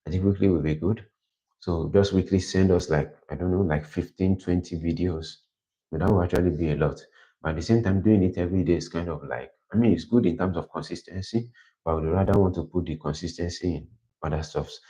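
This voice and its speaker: Deep-voiced man rambling through plans. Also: fairly even intonation, a little fast. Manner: rambling, self-correcting, plans out loud. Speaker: livestream host. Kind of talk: casual thinking aloud. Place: quiet indoor room. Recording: dull lo-fi livestream audio.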